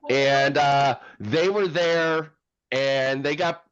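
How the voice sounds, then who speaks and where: A man chats casually as a reaction show host, in a mid-pitched voice. He explains things in an anecdotal, storytelling way. The sound is dry but slightly dull and lo-fi. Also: fairly even intonation, very slow, fairly bright tone, clean tone, no echo. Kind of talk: casual chat.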